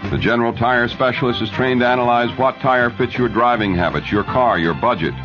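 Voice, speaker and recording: A man reading news and ads as a radio newscaster in a measured 1970s announcer style. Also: medium pitch, music in the background. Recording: dull, lo-fi 1970s radio broadcast recording.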